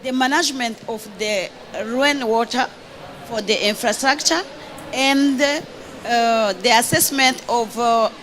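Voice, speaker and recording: A woman asking an impromptu question from the audience at a panel event, in a halting way. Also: fairly high pitch, fairly bright tone, a little hiss or hum. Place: conference pavilion with small audience.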